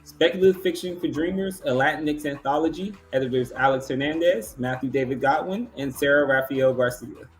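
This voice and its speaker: A man's voice: a little high, brisk, few pauses, faint music behind, low-quality recording. A man hosting an awards ceremony, announcing categories and finalists and reading out remarks.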